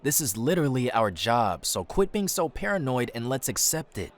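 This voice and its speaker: An actor playing a cheeky, ambitious young crusader in a fantasy audio drama, casual, flippant and cajoling. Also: male voice, medium pitch, big pitch swings, moderate pace, close to an everyday voice. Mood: persuading. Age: young adult.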